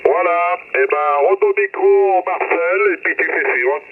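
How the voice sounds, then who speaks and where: A man's voice: high voice, measured pace. A man chatting in a colloquial, teasing way as an amateur radio operator over a radio link. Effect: radio transmission. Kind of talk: casual radio contact chat. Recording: very noisy, tinny radio link.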